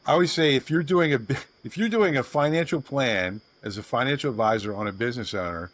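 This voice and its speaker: A man's voice: mid-range voice, quick pace, a bit bright, no room sound. Folksy male podcast host, dry sound. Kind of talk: casual podcast hosting.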